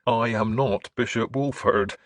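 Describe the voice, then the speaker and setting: A man voicing a gentle, earnest scholarly bishop in an audiobook, with mild manners and a preacher's fervour. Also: medium pitch, lively intonation, a little slow, husky, dry recording, studio quality, pitched above the performer's own voice. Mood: mildly sly. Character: gentle, earnest scholarly priest turned bishop, quietly sly.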